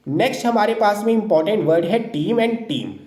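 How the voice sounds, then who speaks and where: A man explains word pairs in a steady teaching lecture, with a mellow, warm tone. He keeps posing a question and answering it at once. The sound bounces around a roomy space. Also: sits high, brisk. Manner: repeats questions then answers them. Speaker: English teacher for exam prep. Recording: roomy echo.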